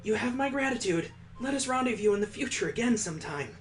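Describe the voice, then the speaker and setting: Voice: medium pitch, animated, quick pace, faint music behind, pitched above the performer's own voice, natural voice. A performer voicing a refined, formal young lady in a visual novel, polite and proper, with ornate wording. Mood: genteel.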